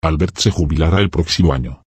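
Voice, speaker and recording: A man reading short phrases for learners, slow and deliberate, one phrase at a time. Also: rumbling low, slightly rough, no echo. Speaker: phrase reader.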